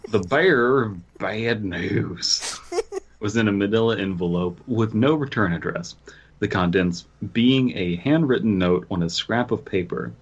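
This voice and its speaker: A man's voice: on the low side, measured pace, slight background noise. A man co-hosting a comedy podcast, chatting casually and reading stories aloud in a goofy manner. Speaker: podcast co-host. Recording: dull lo-fi audio.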